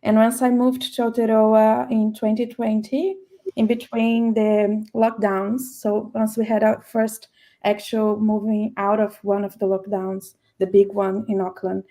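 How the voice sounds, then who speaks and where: A woman's voice: medium pitch, little pitch movement, measured pace, mellow. A woman artist on a panel giving a casual, hesitant introduction to her work. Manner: hesitant, with many fillers.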